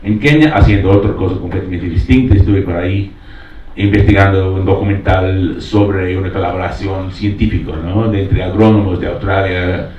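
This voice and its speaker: Man, non-native speaker, very low voice, hesitant panel talk, searching for words, distant mic. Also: fairly even intonation, normal speed, a little mellow. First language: not Spanish. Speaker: documentary filmmaker on a panel. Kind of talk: reflective panel talk with personal account. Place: roomy panel venue. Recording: echoey, distant mic, dull, faintly noisy.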